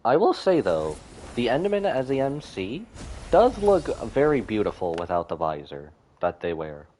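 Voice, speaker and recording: A man's voice: mid-range voice, wildly swooping pitch, normal speed, mellow, a little hiss or hum. A man giving casual live gameplay commentary as a streamer, thinking aloud and reacting with exclamations.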